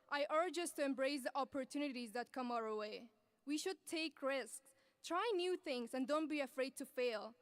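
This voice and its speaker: A young woman from Afghanistan giving an earnest, measured graduation speech as the student speaker at a podium microphone. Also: sits high, clean tone, no room sound. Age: young adult. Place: large ceremony venue.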